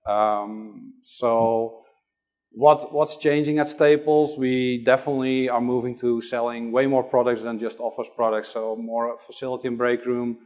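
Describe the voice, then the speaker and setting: A man's voice: mid-range voice, little pitch movement, a little slow, darkish tone. A middle-aged man from the Netherlands with a Dutch accent, giving a conference talk and hesitating often with fillers. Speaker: conference presenter.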